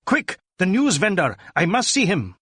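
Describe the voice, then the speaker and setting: Guy doing an insistent police inspector on a chase, voice pushed up high, audiobook detective stuff, super dry. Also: wildly swooping pitch, moderate pace, fairly bright tone, husky, no room sound, full character voice. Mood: alarmed, urgent. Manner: exclaiming, insistent, demanding. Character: urgent, relentless male Indian police inspector in pursuit.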